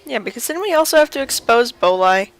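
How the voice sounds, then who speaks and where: A woman's voice: a little high, expressive, a little fast. A woman streaming a video game with casual, offhand commentary, half talking to herself. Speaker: gaming streamer.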